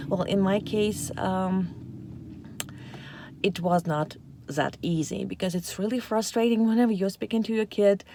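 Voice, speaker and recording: Mellow-voiced woman. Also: mid-range voice, slight background noise. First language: Russian and Ukrainian. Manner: chatty, unscripted, lots of fillers. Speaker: parenting channel host. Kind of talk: casual talk to camera.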